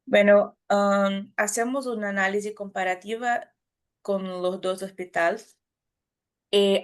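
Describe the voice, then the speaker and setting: A woman with a Brazilian Portuguese accent, a native Portuguese speaker, giving a conference talk in a careful, halting way with frequent restarts and self-corrections. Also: mid-range voice. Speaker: academic presenter.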